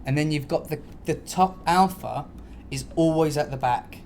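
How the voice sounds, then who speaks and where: Guy podcast host w a slightly high voice, swears a lot, casual storytelling, noisy background. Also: moderate pace. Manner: animated storyteller, sweary, slangy, mimics noises and dialogue.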